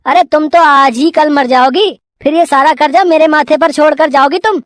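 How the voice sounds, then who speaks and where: A woman's voice: high voice, normal speed, dry recording, close to an everyday voice. Lady doing a bratty selfish college boy for a story, whiny n complaining, close dry sound. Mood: angry, blaming. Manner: petulant, complaining. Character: spoiled selfish teenage son, whiny and demanding.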